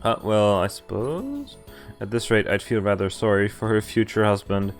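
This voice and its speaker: A man's voice: fairly low pitch, animated, normal speed. Casual male streamer over game music. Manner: informal, sweary asides, stumbles over read lines. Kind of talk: live read-aloud of a visual novel with casual reactions. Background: visual novel music and voiced characters.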